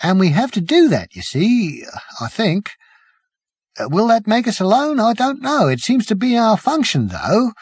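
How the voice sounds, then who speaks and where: Bewildered, polite young soldier acted by a man, highish voice, hesitant and apologetic, dry audiobook sound. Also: wildly swooping pitch, moderate pace, slightly rough, no room sound, full character voice. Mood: bewildered misery. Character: uncertain, bewildered, mild-mannered young male alien cricketer with gun. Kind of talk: audiobook character voice.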